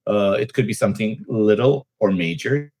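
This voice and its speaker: A man's voice: on the low side, moderate pace, a little mellow, dry recording. A man who works as an immigration attorney, telling anecdotes as a podcast guest with frequent "uh" fillers. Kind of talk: casual podcast interview.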